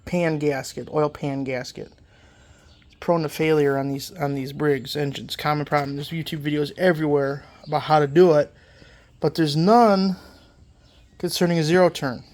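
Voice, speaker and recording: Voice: medium pitch, steady tone, moderate pace, a little mellow. The host of a repair channel, stuffed up from a lingering cold, casually walks through a mower engine repair in a workshop, rambling and correcting themselves as they go. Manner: rambling, self-correcting, many fillers. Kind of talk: casual hands-on repair walkthrough.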